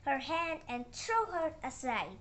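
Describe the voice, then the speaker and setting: A child narrating a moral fairy tale with halting, uneven phrasing and dramatic emphasis on the dialogue. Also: high voice, very animated, fairly bright tone. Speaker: storyteller.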